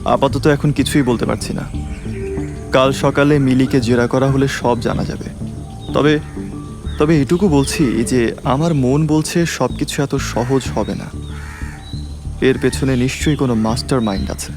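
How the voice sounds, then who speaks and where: A man's voice: medium pitch, a little slow, long pauses, music in the background, natural voice. A man playing a serious, probing detective in an audio drama, with a firm, questioning manner.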